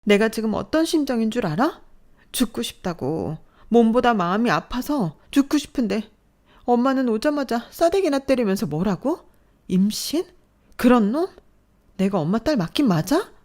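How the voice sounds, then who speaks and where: A woman's voice: medium pitch, expressive, normal speed, voice pushed up, lightly acted. A woman voicing a fragile young adult daughter who speaks in a trembling, pleading, emotional way. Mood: upset.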